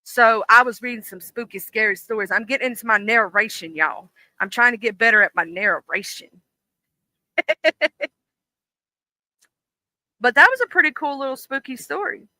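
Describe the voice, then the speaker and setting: Bright-voiced livestream host reading scary stories. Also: medium pitch, normal speed, pauses often, no echo. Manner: even, steady story narration, chatty with fillers and restarts. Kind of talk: horror story reading, then casual chat.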